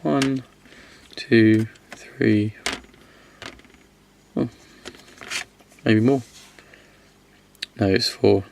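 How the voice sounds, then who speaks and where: A man with a slight cold-hoarse voice, casually reviewing miniatures, rambling and correcting himself as he goes. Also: on the low side, drawn-out words, pauses often, a little mellow, slight background noise. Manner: rambling, self-correcting, counts aloud.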